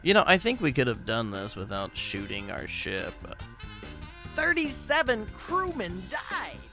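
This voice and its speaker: A man's voice: high voice, huge pitch swings, measured pace, exaggerated voice. A man playing a melodramatic, exasperated starship captain in a space spoof, blustering and stammering when flustered. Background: music.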